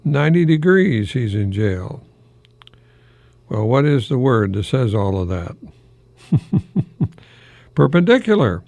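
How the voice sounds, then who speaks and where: Slow, creaky older American man. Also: medium pitch, sing-song, darkish tone, no echo. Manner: chuckles often at his own remarks, halting and rambling. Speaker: radio host, longtime English professor. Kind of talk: folksy solo radio talk.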